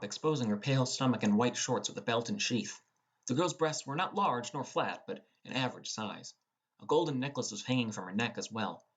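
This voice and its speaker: A young man reading scripted first-person fantasy narration as a dramatic storyteller. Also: medium pitch. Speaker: audiobook narrator.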